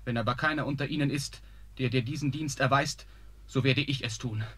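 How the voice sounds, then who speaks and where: An actor playing a young prince who calmly and earnestly reassures his father. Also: medium pitch, fairly quick, husky. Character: young prince, calm and clever son.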